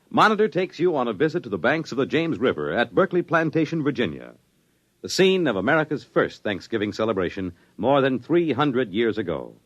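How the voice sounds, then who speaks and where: An emphatic male radio announcer from the 1950s. He speaks in a booming way, and his pitch swings widely. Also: dull audio.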